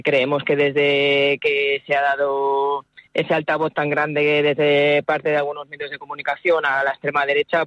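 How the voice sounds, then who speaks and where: A woman's voice: low voice, dry recording, muffled recording. A woman in her forties from Madrid, an activist spokesperson answering radio interview questions earnestly, with many hesitations and restarts. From Madrid, Spain. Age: 40s.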